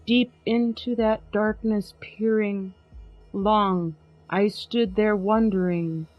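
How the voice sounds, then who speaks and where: A woman podcast host giving a dramatic poetry reading, trading lines with a co-reader in a measured way. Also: mid-range voice, fairly even intonation. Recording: slightly muffled with faint music.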